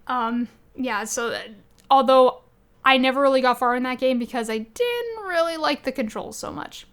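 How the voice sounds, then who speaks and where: A woman's voice: sits high, animated, moderate pace, clear, resonant tone. A woman co-hosting a podcast, chatting casually about video games in an enthusiastic, rambling way. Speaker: podcast co-host.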